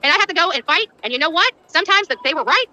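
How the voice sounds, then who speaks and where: A woman rants on a livestream in a high, piercingly bright voice. She is indignant and emphatic, racing along with lively swings in pitch. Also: racing, dry recording, poor audio.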